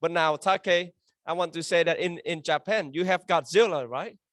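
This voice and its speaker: Formal, higher-pitched man with fillers. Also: moderate pace, fairly bright tone, dry recording, clean studio sound. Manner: formal, with frequent filler sounds. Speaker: club membership officer. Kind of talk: meeting introductions.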